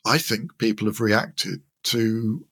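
A man's voice: fairly low pitch, takes it slowly, a bit bright, husky, no room sound, clean studio sound. An older man giving conversational technical explanations as an interview guest, with hesitant, thoughtful phrasing. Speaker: interview guest, loudspeaker designer.

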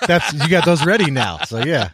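A man's voice: on the high side, very animated, normal speed, fairly bright tone, slightly rough. A man talking casually and informally as a guest on a panel outdoors. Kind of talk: casual chat. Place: outdoors by a bonfire.

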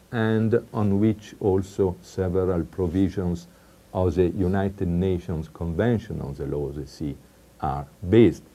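Italian guy, middle-aged, low mellow voice, slow careful lecture w/ lots of uh's. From Italy. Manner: measured, with frequent "uh" hesitations. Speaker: law professor. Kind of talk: recorded academic lecture.

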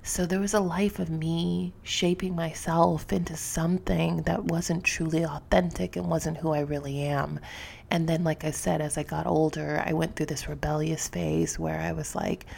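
A woman's voice: low voice, level tone, normal speed, creaky, a little mellow, husky. A woman podcast host with a slightly sniffly voice talks candidly and reflectively to her listeners, in an informal, confessional way, close to the microphone. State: sniffly from allergies.

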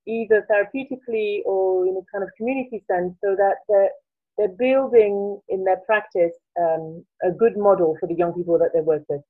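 A French woman in her forties with a French accent, answering interview questions thoughtfully and searching for words as she goes. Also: mid-range voice. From France. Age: 40s. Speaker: guest, coach. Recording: tinny phone-like line.

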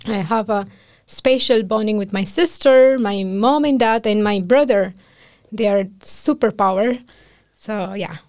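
A woman's voice: medium pitch, unhurried, darkish tone, dry recording. Earnest Afghan-accented woman, dry sound. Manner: earnest, emotional storytelling with quoted speech and laughter. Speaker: guest, physician. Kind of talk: casual interview conversation.

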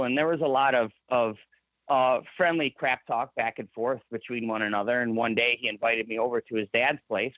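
A man's voice: medium pitch, dry recording, dull audio. A man in his thirties with a Michigan accent, a guest telling his story in a rambling, casual way. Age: 30s. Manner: rambling, restarts often. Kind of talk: casual interview.

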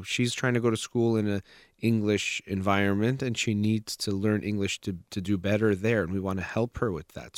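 A man acting as a counselor, calmly advising a parent on their child, talking in a measured way with many fillers. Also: on the low side, no echo, clean studio sound. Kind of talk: consultation with a parent.

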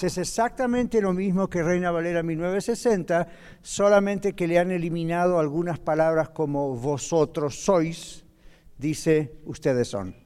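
A man leads a church Bible class, chatting with the room and pausing to ask if everyone follows before he reads the passage aloud. His voice sits fairly high yet sounds mellow, and a long hall reverb trails behind each phrase. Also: smooth. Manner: conversational, checks in with "¿ok?". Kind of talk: Bible class teaching and scripture reading.

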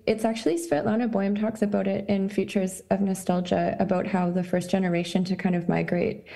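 A young woman from Canada, an artist guest in a casual interview, talking thoughtfully with many pauses and fillers. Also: mid-range voice, gravelly, dark tone. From Canada (Saskatchewan). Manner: thoughtful, halting, lots of likes and ums.

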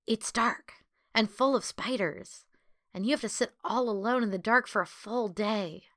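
Woman acting young boy, frightened, cautious, bright tone, storybook character. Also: a little high, a little slow, no echo, studio quality. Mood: fearful. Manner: cautious, hushed warnings.

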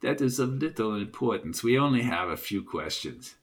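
A man voicing a stern, coldly polite older German interrogator in an audio story, formal, measured and quietly threatening. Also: medium pitch, very animated, pitched below the performer's own voice. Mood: coolly polite.

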